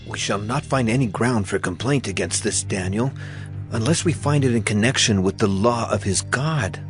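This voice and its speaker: A man playing a scheming government official in a dramatized scripture reading, measured and conspiratorial. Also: fairly low pitch, faint music behind, natural voice. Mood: plotting. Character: scheming male government official plotting against a rival.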